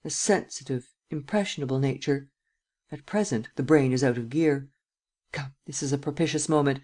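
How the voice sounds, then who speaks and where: A man voicing a coolly sardonic, persuasive prince in an audiobook, speaking with deliberate, imperious command. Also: medium pitch, darkish tone, lightly acted. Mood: amused, calculating. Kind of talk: dramatic audiobook character acting.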